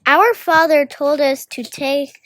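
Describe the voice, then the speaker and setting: A woman's voice: high-pitched, slow, fairly bright tone, no echo. A young girl reading story dialogue aloud, hesitant and stumbling over longer words. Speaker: volunteer story reader.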